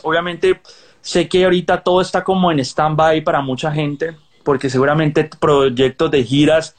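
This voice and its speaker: A man hosting a podcast interview, chatty and joking in a casual back-and-forth. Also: on the high side. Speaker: podcast host. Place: Los Angeles.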